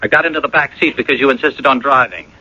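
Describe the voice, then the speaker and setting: A man's voice: mid-range voice, fast talker, sharp tone, breathy edge. An actor playing a broke, ailing blackmailer in his thirties, wheedling and insinuating in a radio drama. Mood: insinuating, threatening. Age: 30s. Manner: wheedling, insinuating, calls her doll. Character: broke, ailing 39-year-old blackmailing ex-boyfriend, slyly menacing. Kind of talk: 1980s radio drama acting. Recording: dull lo-fi old radio broadcast.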